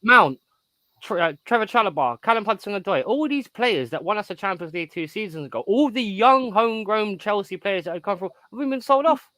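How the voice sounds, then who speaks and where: A man in his thirties rants about football on an online voice call. His voice sits high for a man and sounds dry and close, with no room around it. He rambles on emphatically, checking in with "right?" again and again. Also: lively intonation, fairly quick, pushed and bright, dry recording. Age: 30s. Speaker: guest on a live stream. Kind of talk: casual football chat.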